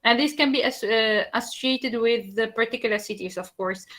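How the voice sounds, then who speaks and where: A woman's voice: fairly high pitch, normal speed, fairly bright tone. A woman from Tunisia with a Tunisian accent, a native Arabic speaker, giving a slide presentation in a hesitant way, with many fillers and restarts.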